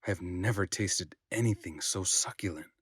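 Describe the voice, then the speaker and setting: Man, lowish husky voice, dry close sound, audiobook narration. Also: moderate pace, a bit bright, no room sound. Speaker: voice-over narrator.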